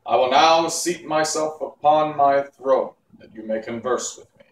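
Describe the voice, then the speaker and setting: A man voicing a grand, invisible storybook wizard, booming, slow and pompous. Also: close to an everyday voice. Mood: solemn, commanding. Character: grand, solemn, invisible great and terrible wizard. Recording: echoey room, distant mic, faint noise.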